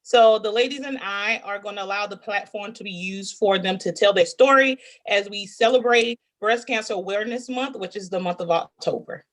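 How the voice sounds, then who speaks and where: Relaxed woman hosting a talk show. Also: medium pitch, normal speed, few pauses. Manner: relaxed, conversational, with fillers and restarts. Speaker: host.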